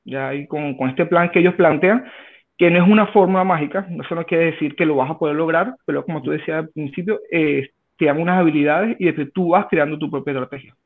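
A Venezuelan man in his thirties, a guest in an interview, answering in a halting way with many restarts. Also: a little high. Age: 30s. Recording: tinny phone line.

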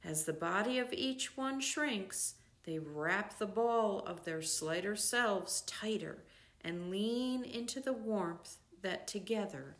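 An older woman narrates from a book at a slow pace. Her voice sits at a middle pitch for a woman, and she sets out each phrase deliberately, leaving pauses between them. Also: lively intonation, a bit bright. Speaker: reader of a book. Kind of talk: scripted storybook narration, read aloud.

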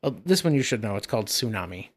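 Man, podcast co-host, casual banter, joking, pitch swinging all over. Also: big pitch swings, fast talker. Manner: jokey, breaks into laughter and squeaky high put-on voices. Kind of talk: casual chat.

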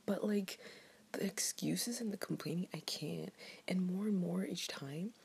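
A woman's voice: fairly low pitch, a little slow, darkish tone. A woman whispering a blunt, profane, fired-up rant in a casual vlog. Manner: whispered, breathy delivery.

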